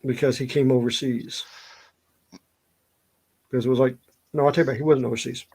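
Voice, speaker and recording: A man's voice: medium pitch, fairly even intonation, a little fast, a little mellow, husky, low-quality recording. A middle-aged man with a Southern US accent chatting casually and telling stories in a rambling way. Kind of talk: casual chat, storytelling.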